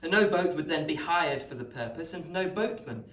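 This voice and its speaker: Eager young gentleman, distant. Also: on the high side, lively intonation, normal speed. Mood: eager planning. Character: eager, practical young gentleman, loyal friend. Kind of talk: radio play dialogue. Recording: echoey room, distant from mic.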